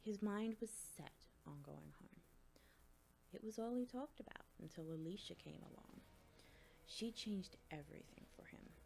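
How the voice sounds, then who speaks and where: Actress as stern female academy professor: low, gravelly, measured and critical, audiobook drama, faint music beneath. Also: lively intonation, a little mellow, a little hiss or hum, low-quality recording. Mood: grave, disapproving.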